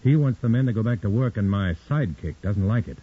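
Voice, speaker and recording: An actor playing a tense undercover agent in 1950s radio drama style, clipped and guarded, on an old radio recording. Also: male voice, on the low side, lively intonation, quick pace, breathy edge, phone-quality sound. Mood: tense, urgent. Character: tense undercover agent posing as a party man.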